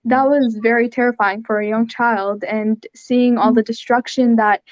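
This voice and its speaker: A teenage girl with a fairly high voice tells her story earnestly over a video call. She keeps a level, narrow melody and fills pauses with "like". The audio is muffled and dry, with no room around it. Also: steady tone, no room sound. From Syria. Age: teens. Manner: earnest, soft-spoken storytelling with frequent "like". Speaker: interview guest, young artist. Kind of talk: online interview.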